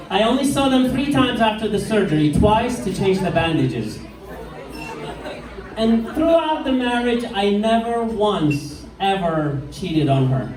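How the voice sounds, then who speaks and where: A middle-aged man with an Iraqi accent works a pub stage, slowing down into deadpan punchlines. His voice sits high for a man. It comes through an echoey room, picked up from some distance. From Iraq. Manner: deadpan one-liners, slow punchline delivery. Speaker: stand-up comedian. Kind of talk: stand-up comedy set. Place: pub stage with live crowd. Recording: echoey room, mic heard from far off.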